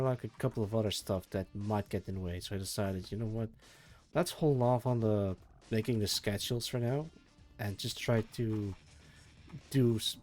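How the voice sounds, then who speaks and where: A sleepy man chatting casually and mumbling to himself on a live stream while he works, with energetic music faintly behind him. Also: fairly low pitch. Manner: soft, meandering, mumbles to himself, trails off. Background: faint energetic soundtrack music.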